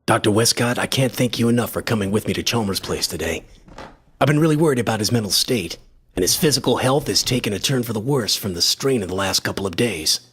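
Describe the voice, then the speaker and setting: A man's voice: on the low side, animated, fast, fairly bright tone. A man playing an anxious, loyal friend in a radio horror drama, with earnest, worried, dramatic delivery. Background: faint music.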